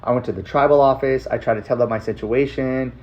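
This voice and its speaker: A man's voice: medium pitch, steady tone, fast. Blunt American man, dull muffled recording. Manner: blunt, emphatic, repeating short phrases. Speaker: storyteller sharing his own life. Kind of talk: casual personal storytelling.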